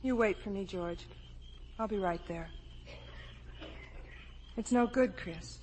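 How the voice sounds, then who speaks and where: An actress playing a gentle, pained, resigned young woman in a 1950 radio drama. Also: mid-range voice, takes it slowly, lightly acted. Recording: faintly noisy 1950 radio broadcast.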